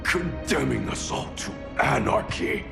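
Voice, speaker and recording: An actor playing a gruff, grim fighter in a video game, questioning and confrontational. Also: male voice, mid-range voice, sing-song, measured pace, over music, heavily acted. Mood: grim, challenging. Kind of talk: video game cutscene acting.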